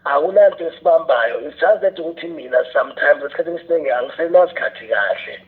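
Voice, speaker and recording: Quick-talking South African man, tinny phone-like sound. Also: a little high, expressive. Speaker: radio drama scriptwriter and teacher, interview guest. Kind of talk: explanatory interview talk.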